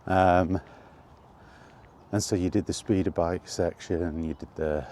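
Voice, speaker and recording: A middle-aged man with a low, mellow voice rambles casually to his viewers. He takes his time, halting and thinking aloud in short phrases, with faint outdoor noise behind him. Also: steady tone. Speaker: video creator and host. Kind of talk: casual reminiscing monologue about retro games. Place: outdoors while walking.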